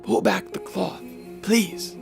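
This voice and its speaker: Eccentric doctor, gravelly, grave and fervent, theatrical radio drama lecturer, music underneath. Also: male voice, high voice, fairly even intonation, heavily acted. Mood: eccentric, eager. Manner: theatrical, deliberate lecturing delivery.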